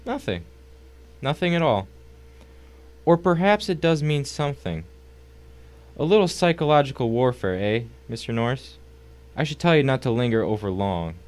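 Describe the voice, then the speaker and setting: A man's voice: mid-range voice, very animated, normal speed, pauses often, darkish tone, close to an everyday voice. A man playing a suave villain in an audio drama, mocking his victim with formal politeness. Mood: taunting, sly. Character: suave, taunting male villain in a suspense story.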